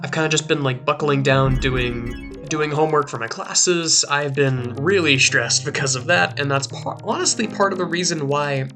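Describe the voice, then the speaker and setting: A young man doing casual gameplay commentary in a rambling, filler-heavy way, his voice a little rough from a recent sore throat. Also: a little high, moderate pace, few pauses. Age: young adult. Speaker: let's play host. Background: faint game music.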